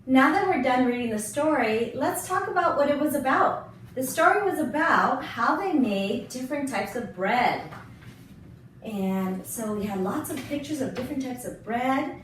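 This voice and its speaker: A woman's voice: high voice, animated, normal speed, slight background noise. Woman teacher in a very echoey room. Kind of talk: lesson talk for children. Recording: very echoey room, distant mic, faint music.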